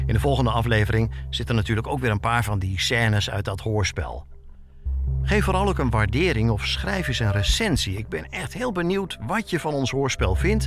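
A man hosting a podcast, addressing listeners directly in a scripted sign-off. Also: medium pitch. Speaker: podcast host, reporter. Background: music.

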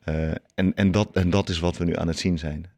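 A man speaks reflectively as an interviewee, in a deep voice with heavy creak. He pauses to find words and slips into English phrases. The sound is close and dry. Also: steady tone, darkish tone, no room sound. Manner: hesitant, emphatic, drops in English phrases. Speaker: interviewee, activist.

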